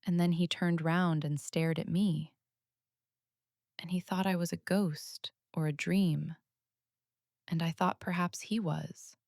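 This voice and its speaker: A woman voicing an earnest, matter-of-fact little girl who speaks softly but firmly, in a storybook reading. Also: lowish voice, steady tone, takes it slowly, creaky, long pauses, no room sound, clean studio sound. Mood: wondering.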